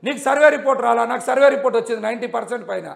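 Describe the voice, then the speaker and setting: A man's voice: very high voice, very fast, vocal fry. A man with an Andhra Telugu accent in a heated live TV debate, firing the same accusing questions again and again. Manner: combative, repeats his questions over and over. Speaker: politician on a debate panel.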